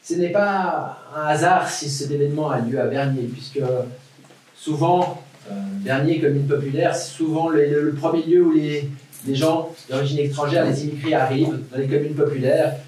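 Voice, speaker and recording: A man's voice: fairly high pitch, fairly even intonation, moderate pace, darkish tone, a little hiss or hum. A man giving a formal welcome speech as a local official, with hesitant repetitions and rhetorical questions. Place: large hall at an event. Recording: echoey hall, distant mic.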